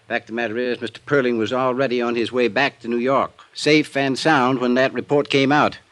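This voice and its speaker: An actor playing a meticulous American insurance executive, polite and measured, in vintage radio drama style. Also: male voice, medium pitch, steady tone, fairly bright tone, natural voice. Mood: guarded, confiding. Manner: measured, polite, hesitant when confiding. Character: courteous, meticulous, guarded male insurance company executive. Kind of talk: 1940s-50s radio acting.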